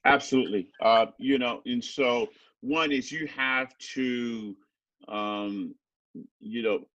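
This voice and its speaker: A man's voice: medium pitch, fairly even intonation, slow pace, fairly bright tone, no echo, lo-fi sound. A middle-aged man from Haiti, a teacher answering student interview questions thoughtfully, with many fillers and a habit of adding "right?".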